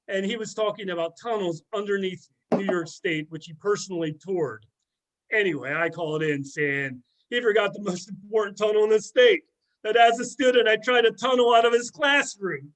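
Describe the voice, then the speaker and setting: High-pitched sardonic man playing a former student. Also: normal speed, fairly bright tone, rough recording. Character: sardonic middle-aged former student dishing on an old teacher. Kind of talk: amateur readers theater, conversational script reading.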